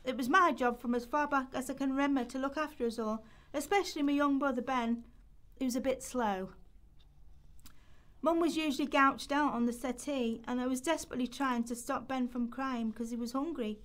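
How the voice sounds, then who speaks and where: A woman acts a poor young girl who looks after her family. Her voice is fairly high and she speaks in a plain, weary, confiding way, as in an amateur radio play monologue. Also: steady tone, moderate pace, fairly bright tone. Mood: matter-of-fact, sad. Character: Chantal, poor young Rochdale girl carer, matter-of-fact, weary.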